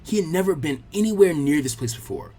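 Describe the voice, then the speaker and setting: A man giving an expressive scripted narration of a fan fiction story as an audiobook. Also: a little high, a little fast, breathy edge.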